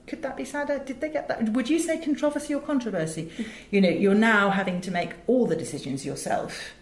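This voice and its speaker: A middle-aged woman with a British English accent answering interview questions conversationally, with emphatic storytelling and frequent asides. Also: medium pitch. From England. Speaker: interview guest, audiobook director and producer. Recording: roomy echo, faint background noise.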